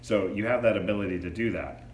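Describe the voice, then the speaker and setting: A man's voice: on the low side, quick pace. A man reviewing a product in a casual, hands-on demo, explaining features informally. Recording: echoey room with faint background noise.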